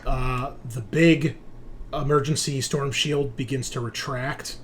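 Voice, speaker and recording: Man, tabletop game master, improvised narration, halting casual talk, slight background noise. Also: medium pitch, steady tone. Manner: halting, conversational delivery.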